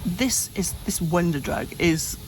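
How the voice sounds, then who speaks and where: A woman's voice: on the low side, animated, a little slow, slight background noise. A woman of fifty-eight speaking candidly in a personal vlog, rambling and often restarting her sentences. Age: 50s. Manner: rambling, frequent restarts and self-corrections. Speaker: vlogger. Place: home, hot room.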